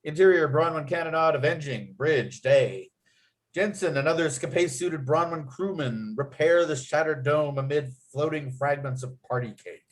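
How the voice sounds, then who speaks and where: A man's voice: a little high, normal speed, rough recording. A man reading screenplay stage directions as the narrator of a table read.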